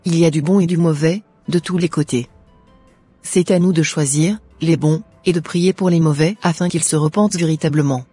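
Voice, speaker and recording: Soft, husky devout daughter played by a woman. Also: low voice, normal speed, no echo, soft background music. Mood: earnest, devout. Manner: earnest, preachy. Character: devout, wise, gentle schoolgirl daughter.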